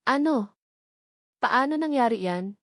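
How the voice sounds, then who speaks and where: A woman's voice: high-pitched, lively intonation, slow pace, no echo, clean studio sound, pitched above the performer's own voice. A woman voicing a heartbroken, angry husband in a narrated romance story, emphatic and dramatic. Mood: distraught.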